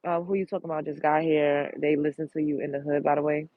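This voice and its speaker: A woman's voice: sits low, level tone, fast, dry recording, phone-quality sound. A congested woman from Brooklyn, chatting bluntly and profanely on a live stream, outdoors on a street, reading viewer comments. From Brooklyn, New York.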